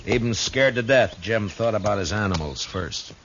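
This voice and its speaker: An American actor playing a steady, stern Old West marshal in a 1950s radio western, speaking tersely and with authority. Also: male voice, on the low side, moderate pace. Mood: grimly thoughtful. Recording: noisy, muffled 1950s radio recording.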